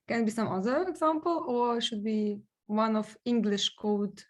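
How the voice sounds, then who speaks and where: A woman's voice: a little high, unhurried. A woman student from Ukraine with a Ukrainian accent, asking hesitantly and searching for words. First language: Surzhyk.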